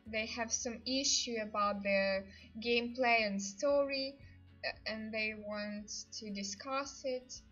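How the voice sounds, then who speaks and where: A woman with an Eastern European accent hosts a casual livestream in a fairly high voice. She speaks very slowly and haltingly, with a giggly, hesitant way of putting her English together. The sound is dull and lo-fi, with music playing behind her. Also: a bit bright. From Ukraine. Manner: giggly, hesitant non-native English phrasing. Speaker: livestream host. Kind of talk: casual livestream chat with viewers.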